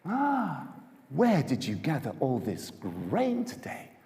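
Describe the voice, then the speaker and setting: A man's voice: mid-range voice, huge pitch swings, slow, darkish tone, pitched above the performer's own voice, full character voice. A man voicing a caring, directive older mother-in-law in a dramatised Bible story, exclaiming and instructing. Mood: excited, curious. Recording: echoey hall reverb.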